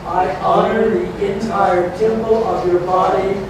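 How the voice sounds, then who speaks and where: An actor playing an adoring bridegroom, pledging devotion in an earnest, rhythmic litany in a staged reading. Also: male voice, high voice, steady tone, a little slow. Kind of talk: staged reading of a ceremonial verse masque. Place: large indoor community hall. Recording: echoey hall, distant mic, loud noise.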